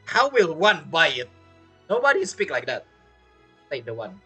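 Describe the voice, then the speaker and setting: Streamer woman, casual game reactions; fairly low, very lively, laughs a lot. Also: measured pace, over music. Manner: laughs often, chatty, broken English.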